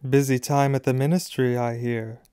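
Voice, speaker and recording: A man's voice: mid-range voice, flat delivery, normal speed, dark tone, clean tone, dry recording, studio quality, natural voice. A man voicing a malicious upper-class wizard father in a storybook, drawling and mock-polite with cold contempt.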